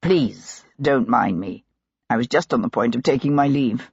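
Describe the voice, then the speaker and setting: A woman reading an audiobook, voicing Sebastian, a reserved young male nobleman, with a gravelly, husky tone. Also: extremely low pitch, normal speed, darkish tone, dry recording, dull audio, voice pushed down, full character voice. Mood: terse. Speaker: audiobook narrator.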